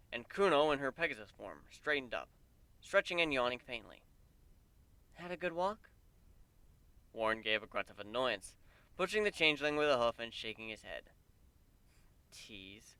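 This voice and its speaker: Bright, crisp-voiced male story narrator. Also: medium pitch, animated, fairly quick, dry recording. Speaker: narrator of fan fiction dramatic readings.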